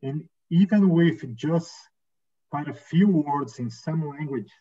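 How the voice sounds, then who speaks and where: A man's voice: a little high, animated, slow, mellow, slightly rough. A man speaking on a webinar panel over a video call, earnest and repeating words, tagging sentences with "right?". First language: Portuguese. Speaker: legal and compliance counsel. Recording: dull lo-fi video call audio.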